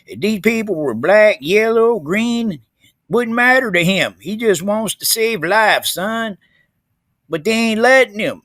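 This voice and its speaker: Man, high-pitched and dry, folksy emphatic comic rant. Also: expressive, measured pace, a bit bright, dry recording. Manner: folksy, emphatic, keeps calling the listener son. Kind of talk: comedic monologue.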